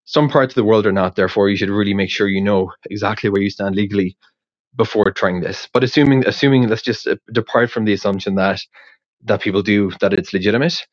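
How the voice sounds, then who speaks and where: A native English-speaking man thinks aloud in a casual, rambling voice memo. His fairly low voice is hesitant and often doubles back on itself. The recording is dry and slightly muffled, as from a small recorder. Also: no echo. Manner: hesitant, halting, circling back and repeating words. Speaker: podcast creator sending in a question.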